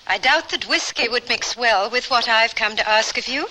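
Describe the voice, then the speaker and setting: A woman's voice: on the high side, normal speed, sharp tone, slight background noise, poor audio. An actress playing a determined, proper Victorian lady traveller, formal and composed, in an old radio drama. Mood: courteous, determined.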